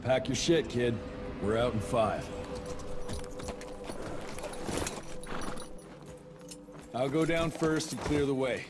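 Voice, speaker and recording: A man's voice: medium pitch, normal speed, over music, natural voice. A man playing a tough gunslinger field agent in a video game, blunt, sardonic and impatient. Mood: brisk, commanding.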